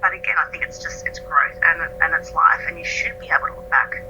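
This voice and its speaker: A woman's voice: deep voice, fairly quick, dull audio, music in the background. A woman in her late thirties, a writer being interviewed, talking in a thoughtful, reflective way and laughing easily. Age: 30s. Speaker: guest writer. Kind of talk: conversational interview.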